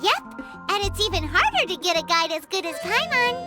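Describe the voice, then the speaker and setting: A woman voicing a tiny, cheeky, excitable fairy companion with a squeaky, childlike voice in a video game. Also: fairly quick. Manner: refers to self in third person, exclamatory. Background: loud tinkling game music.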